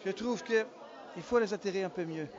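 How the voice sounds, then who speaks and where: A man's voice: high voice, normal speed. A male entrepreneur with a Flemish accent, speaking thoughtfully and searching for words. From Antwerp, Belgium. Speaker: entrepreneur, gala guest. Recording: muffled audio with crowd noise.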